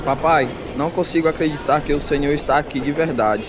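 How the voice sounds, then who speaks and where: Man playing young son, amazed, Brazilian accent, higher male voice, radio drama, clear background noise. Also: a little fast, natural voice.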